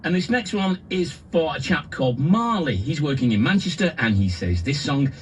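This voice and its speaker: An actor playing a chatty late-night radio DJ giving breezy, jokey request-show patter in a radio drama. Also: male voice, on the high side, big pitch swings, moderate pace, slight background noise.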